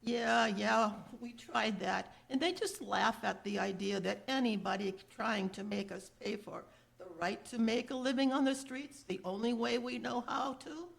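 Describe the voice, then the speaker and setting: A woman playing a stubborn, streetwise apple seller in a 1930s radio drama, with folksy, emphatic speech and a gravelly, husky voice. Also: on the high side, normal speed. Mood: indignant, resolute. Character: feisty streetwise apple-selling beggar woman, stubborn, rallying.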